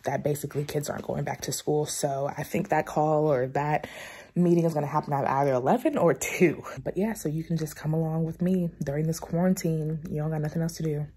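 A woman's voice: low-pitched, fairly even intonation, brisk, mellow, breathy edge. A young adult woman chatting casually to her vlog audience in an informal, rambling, slangy way. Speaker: vlogger, graduate student. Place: at home and inside a parked or moving car. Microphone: phone at arm's length or mounted.

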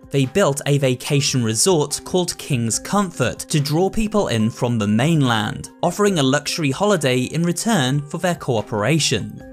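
Man, steady scripted lore narration, mid-pitched voice, faint music behind. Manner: steady, explanatory.

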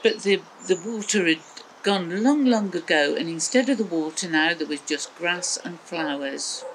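A woman's voice: lowish voice, moderate pace, a little hiss or hum, poor audio. An older woman with an English accent tells a children's story expressively in simple phrases. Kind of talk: children's storytelling, talking to small listeners. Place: outdoors in a small back garden.

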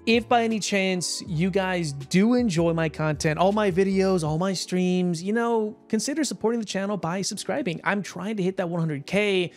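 Hoarse, chatty man with a higher voice. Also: moderate pace, hardly any pauses, darkish tone, faint music behind. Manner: chatty, rambling, with frequent fillers and short laughs. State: hoarse, tired voice after a long stream. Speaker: gaming YouTuber. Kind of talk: casual gameplay commentary.

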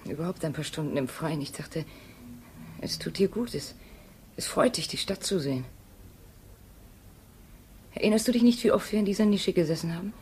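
An actress playing a devoted, insistent wife in a 1950s radio drama, earnest and reproachful. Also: female voice, lowish voice, lively intonation, normal speed, pauses often, a little mellow. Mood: earnest, coaxing. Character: insistent, devoted wife pressing her husband. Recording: old radio recording with noise.

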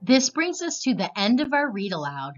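A woman narrating a children's storybook as read-aloud host, expressive and deliberate, with slightly muffled sound. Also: fairly high pitch, faint music behind.

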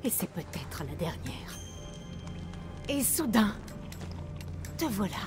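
A woman's voice: medium pitch, big pitch swings, takes it slowly. A woman playing a grim, wary young rebel leader in a video game, solemn and intense. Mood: grave, bitter. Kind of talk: dramatic video game acting. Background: dramatic music.

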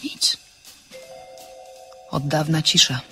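A woman giving an expressive reading of a novel, acting out the dialogue, in a husky voice. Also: low-pitched, very slow, soft background music.

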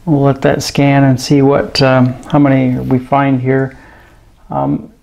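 A man giving a casual, hands-on radio review in a room at his desk, talking slowly and filling pauses with ums and uhs. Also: medium pitch, monotone, gravelly, darkish tone, poor audio. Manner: unhurried, hesitant, many ums and uhs. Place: indoor room at a desk with gear. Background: radio noise and static at times.

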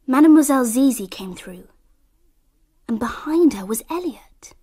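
A woman playing a thoughtful young girl, measured and earnest as she tells a radio drama story. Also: sits high, lively intonation, warm tone, slightly rough. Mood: reflective. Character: thoughtful young English girl recounting a summer. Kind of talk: radio drama narration and acting. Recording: faint background noise.

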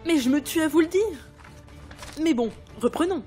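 A woman playing a sharp-tongued, sardonic book editor in an audio drama. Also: sits high, lively intonation, moderate pace. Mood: scornful, exasperated. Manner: cutting, sardonic. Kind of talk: French audio drama acting. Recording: music behind the voice.

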